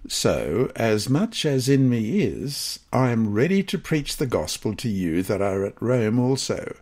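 A man with a husky voice reads a scripted devotional lesson aloud in a measured, careful manner. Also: mid-range voice, sing-song, a little mellow. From Australia. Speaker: lesson reader.

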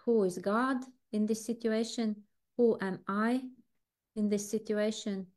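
A Latvian-accented woman in her forties gives an earnest devotional talk straight into her webcam from a room at home. Her English comes in short, halting phrases, and she pauses often as she gathers her thoughts. Her voice sits at an ordinary middle pitch for a woman. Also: steady tone, darkish tone. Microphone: video call webcam, close framing.

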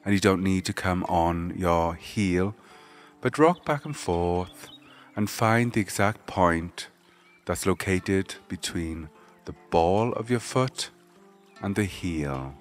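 A man leading a guided meditation, giving gentle, measured spoken instructions. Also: low voice, soft background music.

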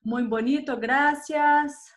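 A woman's voice: sits high, steady tone, takes it slowly. A woman in her thirties from Colombia with a Colombian accent, hosting a casual live makeup chat from a small room on a webcam, often checking in with "¿sí?". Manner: chatty, tags questions with "¿sí?". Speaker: host of a live makeup session. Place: small indoor room, seated at a desk. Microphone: webcam at desk distance.

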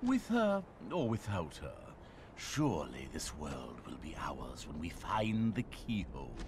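An actor playing a suave, sinister sorcerer villain in a video game, with an oily, menacing, mock-courteous manner. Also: male voice, a bass voice, huge pitch swings, a little slow, background noise, exaggerated voice. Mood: scheming. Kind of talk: animated game villain acting.

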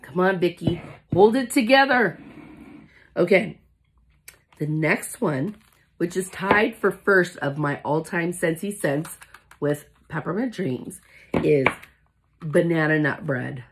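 A woman in her forties who has lost her voice, talking hoarsely with a cough in a chatty, gushing unboxing vlog, seated in a small room in front of a phone camera. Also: lowish voice, takes it slowly, long pauses, slight background noise. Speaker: independent product consultant. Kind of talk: casual product unboxing vlog. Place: small indoor room with shelves, seated at a table.

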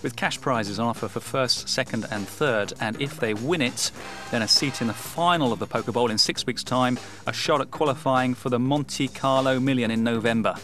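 A man's voice: medium pitch, moderate pace, few pauses, fairly bright tone. A man hosting and commentating on a televised poker game. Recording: music under the voice. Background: music.

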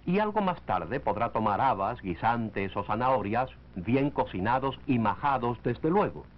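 A male narrator from a 1940s educational film, heard through a dull, lo-fi old soundtrack. His pitch is mid-range and moves in lively, animated swings. He reads scripted lines in a measured, instructive manner.

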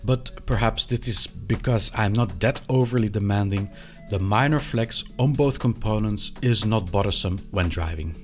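A man in his thirties presenting a product review to camera, with a hesitant, halting delivery and frequent pauses. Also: on the low side, soft background music. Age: 30s. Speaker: video reviewer of sim racing gear. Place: small indoor hobby room.

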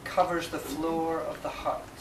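Slow, echoey man reciting poetry. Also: fairly high pitch, level tone. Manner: measured, pausing between short phrases. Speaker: poet reading own work. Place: inside a marquee at a live reading. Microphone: camera mic a few metres away. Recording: distant, echoey, noisy camera recording.

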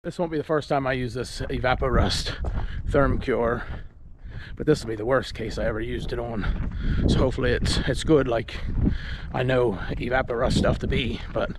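A man's voice: mid-range voice, steady tone, moderate pace, noisy background. A man vlogging from his small farm, talking in a plain-spoken, folksy way and thinking aloud as he works. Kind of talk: casual vlog narration.

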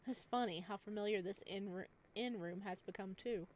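A woman reading a visual novel aloud at home, with quick joking asides between lines of narration. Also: medium pitch, a little mellow, slight background noise. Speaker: game streamer.

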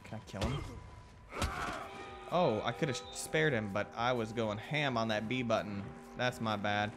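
A man's voice: lowish voice, a little slow, over music. A young man giving casual, joking gameplay commentary in a playful, goofy manner. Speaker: gaming YouTuber.

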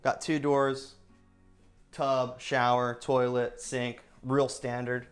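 A man in his thirties leads a real estate tour, his voice medium in pitch. He talks in an upbeat sales patter, firing off features in short bursts as he walks through the house on camera. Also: level tone, slow, clean tone, faint music behind. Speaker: real estate agent giving a home tour. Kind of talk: enthusiastic walkthrough tour. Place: inside and around a single-story house.

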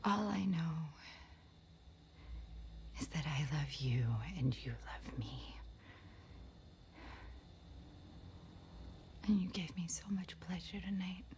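A woman performing a dramatic film monologue as a woman confessing forbidden love, in a breathy, whispery, intimate voice. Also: deep voice, animated, measured pace, long pauses, a little hiss or hum. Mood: emotional, yearning. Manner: hushed, confessional delivery.

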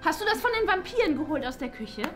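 Excitable teen werewolf schoolgirl played by a young woman, chatty and pushy, rapid delivery, music behind. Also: high-pitched. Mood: eager, pushy. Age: young adult. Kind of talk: improvised fan-film acting. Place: furnished room at home. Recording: music under the voice.

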